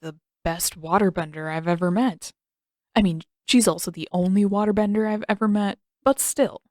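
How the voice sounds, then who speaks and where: A woman's voice: mid-range voice, steady tone, normal speed, darkish tone, no echo, clean studio sound, natural voice. Woman doing a sarcastic, whiny teen boy. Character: sarcastic teenage boy, complaining and dramatic.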